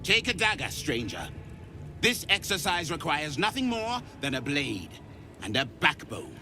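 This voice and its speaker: Imperious alien warrior drillmaster, slow and scornful. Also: male voice, a little high, wildly swooping pitch, bright, forceful tone, slight background noise, heavily acted. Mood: cold contempt. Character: harsh githyanki drill instructor, contemptuous, commanding adult male warrior. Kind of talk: video game voice acting.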